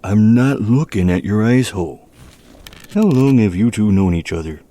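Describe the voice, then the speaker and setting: A very low, mellow male voice for a small-town police officer acted by a man in an audio drama. He is measured and dry, with a stolid, philosophical air. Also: lively intonation, close to an everyday voice. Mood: polite, probing. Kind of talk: scripted audio drama acting.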